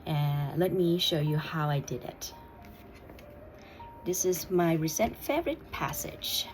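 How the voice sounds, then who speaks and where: Slow, soft-spoken Thai woman. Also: deep voice, lively intonation, soft background music. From Thailand. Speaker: vlogger. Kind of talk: personal vlog with read-aloud passage.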